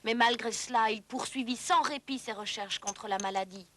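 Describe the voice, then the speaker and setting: A woman's voice: fairly high pitch, normal speed, pushed and bright, slight background noise. A woman reading scripted narration for an educational cartoon in an explanatory, storytelling manner.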